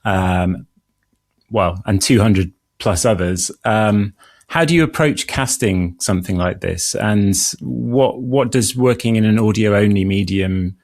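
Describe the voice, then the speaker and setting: A man hosting an interview, putting questions in a halting way and rephrasing them as he goes. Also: on the low side, darkish tone, dry recording, studio quality. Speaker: guest host, interviewer.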